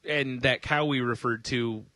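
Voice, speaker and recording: A man's voice: medium pitch, fairly even intonation, measured pace, sharp tone, no echo. A man co-hosting a comedy podcast, tossing in crude, sarcastic quips in casual banter. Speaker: podcast co-host.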